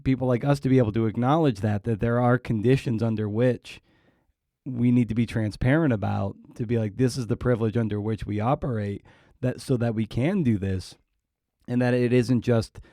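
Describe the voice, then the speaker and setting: A man hosting a conversational interview, speaking in a reflective, halting and wordy way. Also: mid-range voice, fairly even intonation, dark tone, no room sound, studio quality. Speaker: podcast host, writer.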